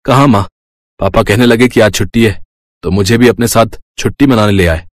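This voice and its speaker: Guy as young village farmer son, low quiet voice, weary, protesting a bit, cartoon tale. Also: normal speed, no room sound, clean studio sound, close to an everyday voice. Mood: complaining. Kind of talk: cartoon moral story dialogue.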